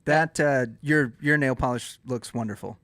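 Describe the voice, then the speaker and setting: A man's voice: mid-range voice, normal speed, a bit bright, no echo, clean studio sound. A man co-hosting a podcast with casual comedic banter in a teasing, mock-earnest manner. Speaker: podcast co-host.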